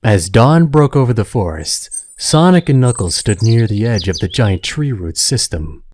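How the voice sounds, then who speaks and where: A man's voice: fairly low pitch, expressive, normal speed, darkish tone. A man giving scripted, dramatic narration of an adventure story as the narrator.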